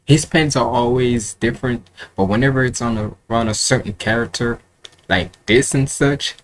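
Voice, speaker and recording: A man's voice: medium pitch, moderate pace. A man in his twenties giving casual reaction commentary in a rambling, colloquial way, often repeating himself. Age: 20s. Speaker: reaction video host. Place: indoor room at home with curtains. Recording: noticeable background noise, home recording.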